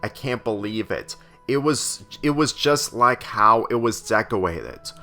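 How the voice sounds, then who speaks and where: A man's voice: on the low side, expressive, unhurried, pushed and bright, soft background music, full character voice. A man reading the storybook lines of a startled, doubtful teenage girl in a hesitant way. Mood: surprised. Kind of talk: storybook character dialogue.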